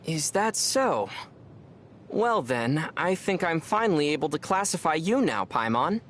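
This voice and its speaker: A man voicing a calm, dry-witted, gently teasing young traveler in a video game. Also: a little high, a little slow, slight background noise. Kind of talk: video game character acting.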